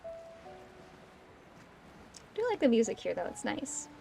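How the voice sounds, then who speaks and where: A woman's voice: fairly high pitch, big pitch swings, unhurried, vocal fry, warm tone. A woman doing casual live gameplay commentary, chatty and playfully grumbling, often muttering to herself as she plays. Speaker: streamer playing a video game. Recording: game music behind the voice. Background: video game music.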